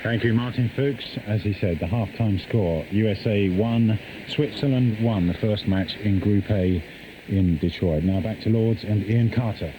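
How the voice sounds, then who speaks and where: Male radio sports presenter, fairly low voice, linking reports, dull and noisy shortwave sound. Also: measured pace. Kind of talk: studio links and hand-overs.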